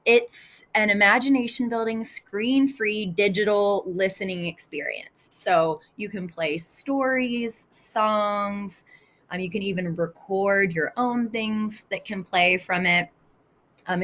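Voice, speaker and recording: A woman's voice: medium pitch, unhurried, fairly bright tone. A woman with an American accent, a teacher presenting an informal, conversational online tutorial. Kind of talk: casual webinar demonstration. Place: live online video session.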